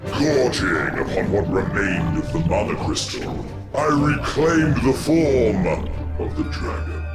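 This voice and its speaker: A man playing a coldly calm, obsessive warrior prince villain in a video game, theatrical and formal in his measured delivery. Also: lowish voice. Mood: grandiose, intent. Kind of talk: dramatic video game voice acting. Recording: echoing, under loud music.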